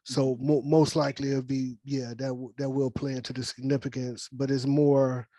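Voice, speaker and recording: A man's voice: medium pitch, flat delivery, moderate pace, warm tone, no echo. An American man leading a script discussion, talking casually and conversationally and often checking that listeners follow. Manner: conversational, often checks with "you know what I'm saying". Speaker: scriptwriter leading a virtual table read. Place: indoors on a video call.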